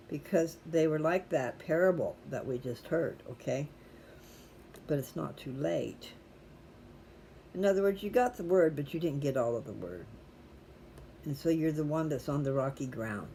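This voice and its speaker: A mellow, relaxed voice with a folksy way of talking to viewers. The host rambles casually from one aside to the next, over a faintly noisy home-style recording. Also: medium pitch. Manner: chatty, folksy, digressive. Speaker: host reading scripture aloud for viewers.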